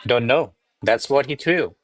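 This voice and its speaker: A man's voice: mid-range voice, unhurried, dry recording, lightly acted. A man playing a deferential, flustered servant to a noble lady in a radio play, speaking formally and placatingly. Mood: earnest, excuse-making. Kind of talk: radio play acting of a classic comedy.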